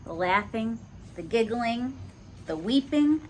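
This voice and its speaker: A woman reading a picture book aloud to preschoolers in an animated way, stopping to ask the children questions. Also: fairly high pitch, slow pace, a little hiss or hum, rough recording. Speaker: children's storyteller. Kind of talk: read-along for preschoolers.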